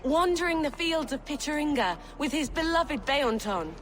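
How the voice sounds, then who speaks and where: A woman's voice: sits high, animated, moderate pace, a bit bright. A woman playing a sorrowful attendant of a king in a video game, speaking solemnly. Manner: mournful, solemn. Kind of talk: dramatic video game acting.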